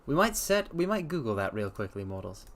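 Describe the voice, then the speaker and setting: A man streaming a dramatic story reading, with theatrical, expressive delivery and casual asides. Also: mid-range voice, fairly quick. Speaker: streamer and reader.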